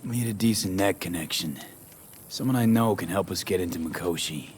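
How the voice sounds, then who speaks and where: A man's voice: deep voice, moderate pace, a little hiss or hum, close to an everyday voice. A man playing a streetwise mercenary in a dystopian video game, talking in a clipped, casual, dry way. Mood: dryly confident. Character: terse, streetwise male mercenary in dystopian future.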